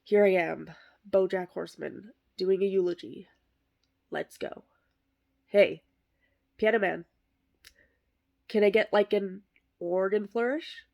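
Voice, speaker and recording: A woman voicing a bitter, sardonic middle-aged male horse actor, delivering a comic eulogy monologue in a deadpan, wry way. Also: fairly low pitch, measured pace, gravelly, lo-fi sound, heavily acted. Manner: deadpan, self-deprecating, wry.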